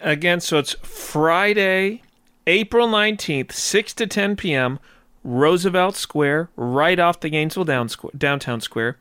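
An upbeat male radio host who interviews his guest and plugs an upcoming event. His voice is a bit high for a man. The recording is dry and close, with no room sound. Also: a little slow, a bit bright, smooth, no echo. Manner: upbeat, promotional.

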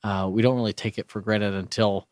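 Low-voiced guy from bulgaria, earnest, keeps restarting, dry studio sound. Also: steady tone, no echo. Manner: earnest, halting, with many restarts and fillers. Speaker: radio show guest, business owner. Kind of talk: casual radio interview. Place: radio studio.

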